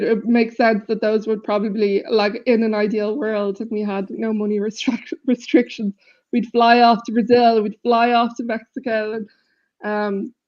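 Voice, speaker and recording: A woman with a deep voice, a band member chatting casually in an interview with plenty of fillers, heard over a video call. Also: darkish tone. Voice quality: self-described deep voice. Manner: chatty, laughs easily, many fillers. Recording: slightly dull lo-fi audio.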